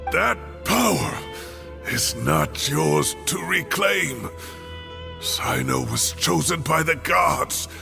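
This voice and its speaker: A gravelly old professor. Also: male voice, fairly high pitch, lively intonation, slow pace, music in the background, heavily acted. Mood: pleading. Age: older. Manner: earnest pleading. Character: aging male scholar, protective and defiant. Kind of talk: video game voice acting.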